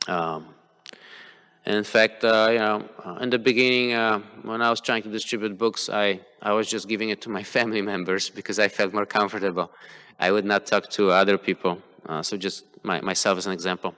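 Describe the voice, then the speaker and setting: A man whose first language is Polish gives a devotional talk in a temple hall, full of pauses, fillers and restarts. His voice sits a little low but sounds bright and crisply clear. A long hall reverb trails behind every phrase. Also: fairly even intonation. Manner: hesitant, many fillers and restarts. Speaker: temple class speaker. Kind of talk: devotional class talk, personal examples.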